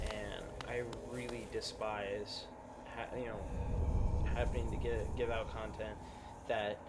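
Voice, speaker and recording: A young man with a shrill voice, chatting loosely as he plays a video game, rambling with lots of fillers and restarts into a USB microphone. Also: heavy background noise. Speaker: gameplay commentator. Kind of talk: casual let's-play chat. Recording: loud game music behind.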